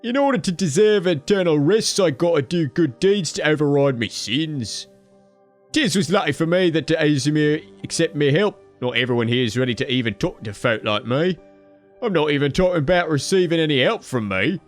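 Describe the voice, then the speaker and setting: A man's voice: fairly high pitch, expressive, normal speed, faint music behind, pitched above the performer's own voice, lightly acted. A man voicing a friendly undead skeleton laborer who talks in a folksy, slangy, rambling way.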